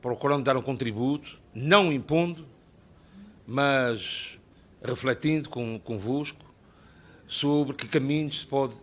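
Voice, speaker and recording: A man's voice: mid-range voice, unhurried. A middle-aged man with an Azorean Portuguese accent, giving a formal, ornate speech at a parliamentary hearing and building long rhetorical lists. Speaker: invited speaker at a parliamentary hearing. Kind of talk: formal public address.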